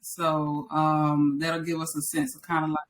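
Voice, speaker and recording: A woman's voice: low-pitched, completely flat delivery, unhurried. A woman hosting a casual interview, chatty and welcoming as she talks. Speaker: interview host.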